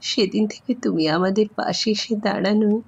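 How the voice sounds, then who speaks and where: A woman playing a sorrowful, gentle elderly grandmother in an audio story, husky and halting, with tender gratitude. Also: mid-range voice, lo-fi sound. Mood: grateful, sorrowful. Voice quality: husky, creaky. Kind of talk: Bengali audio story acting.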